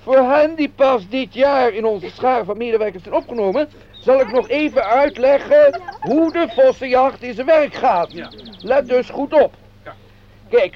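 Fussy high-pitched company administrator. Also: male voice, unhurried. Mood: pompous, ceremonious. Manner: formal, deferential, pompous. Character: fussy, obsequious company administrator and association chairman. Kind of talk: radio play acting. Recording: dull lo-fi radio play recording.

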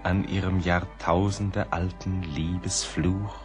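An actor in a German radio play, playing a haunted, melancholy poet in a brooding, halting, intimate monologue. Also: male voice, deep voice, flat delivery, music in the background. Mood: tender, haunted. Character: melancholy, haunted poet musing to someone lost.

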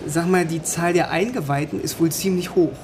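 An actor playing a bookish young East German philosophy student in a radio drama, narrating in a wry, literate way. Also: male voice, on the high side, fairly even intonation, moderate pace, over music, natural voice. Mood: wry, reflective. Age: young adult. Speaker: narrator and lead.

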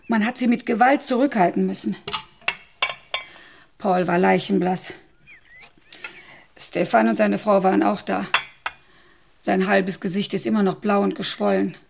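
A bustling, gravelly housekeeper in a radio play. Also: female voice, medium pitch, background noise. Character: brisk middle-aged housekeeper.

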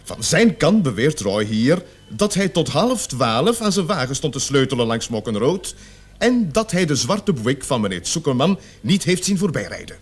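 A commanding police interrogator in a radio drama. His higher-pitched male voice swings widely as he presses his points with stern, theatrical emphasis. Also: sing-song, moderate pace, breathy edge, soft background music. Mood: methodical, grave. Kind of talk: radio play acting.

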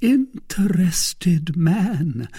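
A man voicing a proud, seductive and vindictive noblewoman in an audiobook of a classic novel, speaking in a deliberate, theatrical way. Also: high voice, lively intonation, vocal fry, mellow, husky, voice pushed up, heavily acted. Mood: coy, testing.

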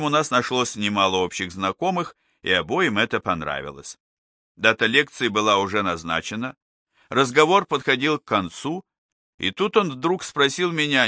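Bright, lowish male audiobook narrator. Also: dry recording, studio quality. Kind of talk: first-person memoir reading.

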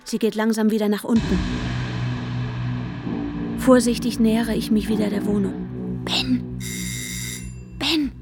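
An actress playing an anxious, determined woman in a radio drama, narrating intimately and urgently, often dropping to a hush. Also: fairly high pitch, steady tone, slow pace, creaky, long pauses, loud music behind the voice. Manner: urgent, often dropping into a hushed near-whisper. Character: anxious, determined young woman searching for her missing partner.

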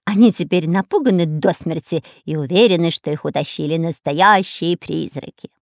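A woman's voice: lowish voice, moderate pace, gravelly, no echo, studio quality. A woman voicing a long-nosed male spy in a children's story, sneering and sniggering in a nasal drawl. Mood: gleeful.

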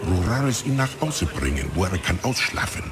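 Brief: indignant foreign-accented club boss, broken grammar, low voice jumping around, radio play. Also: male voice, big pitch swings, a little fast, a crowd in the background. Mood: indignant, curt. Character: indignant Mexican-Chinese male club boss, speaking broken German.

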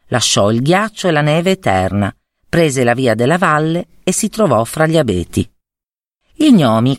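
A woman storyteller narrating a fairy tale expressively, in theatrical, measured phrases with pauses. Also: deep voice, dry recording, clean studio sound.

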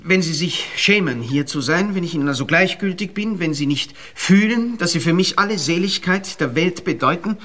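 An actor playing an ardent, nervous young Viennese gentleman in a radio drama, pleading effusively and haltingly with an Austrian accent. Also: sits high, lively intonation, full character voice. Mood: fervent pleading. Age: young adult.

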